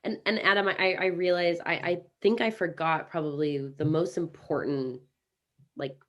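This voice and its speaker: An American woman in her thirties, chatting as a guest on an interview over a video call, upbeat and talkative with quick restarts. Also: on the low side, fairly even intonation, fairly bright tone, lo-fi sound. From Kansas City, United States. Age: 30s. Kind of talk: casual interview chat.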